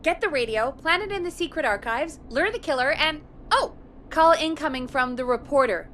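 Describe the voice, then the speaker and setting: A woman's voice: high voice, expressive, moderate pace, a bit bright, slight background noise. A woman voicing a perky, gossipy radio show producer in a video game, chatty and enthusiastic. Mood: hopeful, excited. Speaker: radio producer putting calls through.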